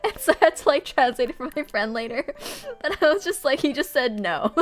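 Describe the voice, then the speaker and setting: A woman's voice: high voice, big pitch swings, fairly quick, faint music behind. A woman casually chatting to her livestream viewers while sorting notebooks, rambling and laughing often. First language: Korean. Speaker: streamer.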